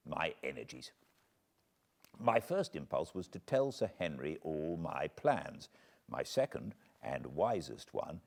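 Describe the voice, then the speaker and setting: A British man reading a classic novel aloud as an audiobook narrator, in a measured, expressive storytelling manner. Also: sits low. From Britain. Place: cozy spot by a fireplace at home.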